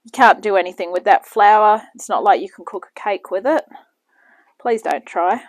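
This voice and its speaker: A woman's voice: mid-range voice, normal speed, no room sound. A woman chatting casually as she cooks in her home kitchen and hosts her own cooking channel. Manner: chatty, talks while working in the kitchen.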